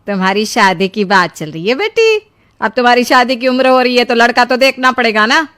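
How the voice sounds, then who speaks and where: Woman playing nagging middle-aged mother, highish bright voice, insistent, scolding, family cartoon. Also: animated, brisk, smooth. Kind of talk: Hindi moral-story cartoon acting.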